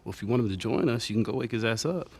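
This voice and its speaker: A man playing a young protective older brother in a dramatic video game cutscene, with a raspy voice. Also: medium pitch, rapid-fire, darkish tone, slight background noise, close to an everyday voice. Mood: easygoing.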